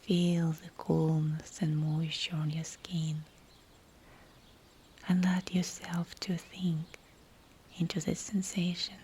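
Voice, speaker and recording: Slow whispering woman guiding meditation. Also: fairly low pitch, little pitch movement, slight background noise. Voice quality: breathy whisper. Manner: soothing, hushed, unhurried phrases with pauses. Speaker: meditation guide. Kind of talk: guided visualization meditation, scripted narration.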